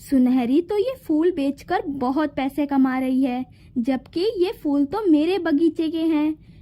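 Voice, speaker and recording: Woman as a high-voiced scheming jealous fairy. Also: slow pace, dark tone. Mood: resentful, scheming. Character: jealous scheming female fairy who owns a garden.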